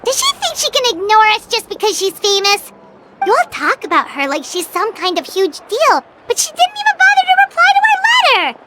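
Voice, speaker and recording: A woman voicing a small, childlike, cheeky fairy girl companion in an English video game, with a squeaky voice. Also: wildly swooping pitch, brisk, heavy background noise, full character voice. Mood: indignant, huffy. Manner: speaks of herself in third person, cheeky. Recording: loud game music underneath. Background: game background music.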